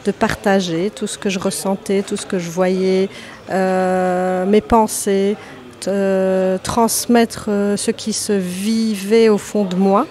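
Hesitant woman author, soft and searching. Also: mid-range voice, little pitch movement, takes it slowly. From Lebanon. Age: 30s. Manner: hesitant, many fillers and restarts. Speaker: author guest. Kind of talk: podcast interview.

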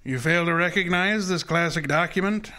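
A man's voice: on the high side, normal speed, sharp tone. A man playing an imperious, erudite master detective in a radio drama, speaking in a formal, precise and pompous way. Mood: smug. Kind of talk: old-time detective radio drama acting.

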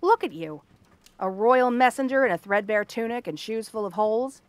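Casting: female actor as cursed princess turned frog girl, cranky and theatrical, sharp-tongued but secretly kind, ASMR fantasy roleplay. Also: on the high side, lively intonation, normal speed, no echo, lightly acted. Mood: bitter, scornful. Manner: haughty, sardonic, theatrical tsundere delivery.

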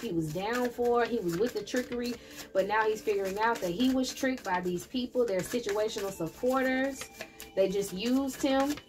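A woman's voice: mid-range voice, darkish tone. A chatty woman gives a loose, conversational tarot reading. She talks straight at her audience in a mid-range voice, thinking aloud as she goes. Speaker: tarot reader. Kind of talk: casual tarot reading for viewers. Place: indoor table with candles and plants.

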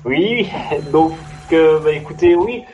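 A man's voice: a little high, slow, a little mellow, dull audio, faint music behind. A man calling in to a radio show, polite and hesitant, with a cold. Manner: polite, hesitant, repeats himself. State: has a cold. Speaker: caller.

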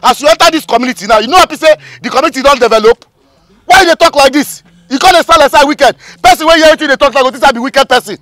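Brazen Nigerian youth boss for comedy skit, male actor, high, animated, combative. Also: brisk, dry recording. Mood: indignant. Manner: argumentative, emphatic, repeats himself. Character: brazen, self-important male community youth leader. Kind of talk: comedy skit acting in Pidgin English.

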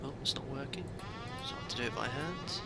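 A man who flies airliners for a living calmly commenting on a simulator landing and reading out checklist items as he goes. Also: mid-range voice, quick pace, heavy background noise. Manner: calm running commentary, reads out checklist items. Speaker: airline pilot streaming a flight simulator. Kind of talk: live commentary while flying.

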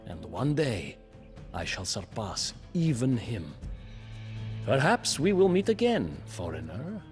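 A brooding, ambitious young prince. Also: male voice, medium pitch. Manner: slow, measured, brooding delivery. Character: proud, ambitious young male Lithuanian prince. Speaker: campaign narrator. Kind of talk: scripted storybook narration. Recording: faint music behind.

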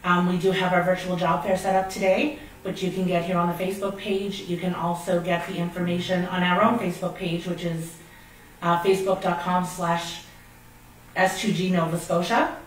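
A woman's voice: on the low side, little pitch movement, moderate pace. Woman presenting in a very echoey room. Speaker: call center operations manager. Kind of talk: informal company presentation. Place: meeting room indoors, at a lectern. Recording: very echoey room, distant mic, faint noise.

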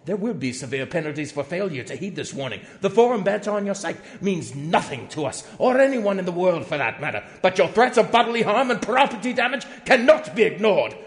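A man voicing a pompous, outraged company executive in a theatrical dramatic reading of threatening emails. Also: sits high. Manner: pompous, overwrought, swinging from hushed to shouting. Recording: long echoing hall reverb.

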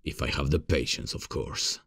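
A man playing a playful, cocky and affectionate gamer boyfriend in an intimate ASMR roleplay, with a gravelly, husky low voice and a hesitant, drawn-out delivery. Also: a bit bright, exaggerated voice. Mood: frustrated. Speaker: voice actor.

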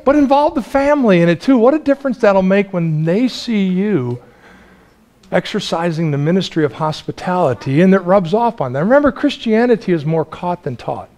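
Lively, chatty male preacher. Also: sits high, normal speed. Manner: lively, chatty preaching with laughs and repeated restarts. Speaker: pastor. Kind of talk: sermon. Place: small church sanctuary.

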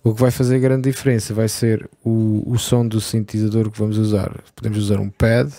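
A man talking through a software tutorial step by step over a screen recording, in a relaxed explaining way. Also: on the low side, fairly quick, no echo. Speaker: tutorial host.

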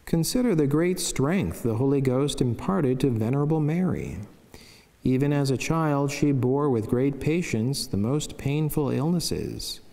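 A middle-aged man with a soft, mellow voice reads a devotional text as a friar would, solemn and measured. He speaks into a lectern microphone, and the chapel adds a long reverb around his words. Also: mid-range voice, gravelly. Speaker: Franciscan friar and priest. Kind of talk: devotional reading of a saint's life, scripted. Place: wood-panelled chapel, indoors.

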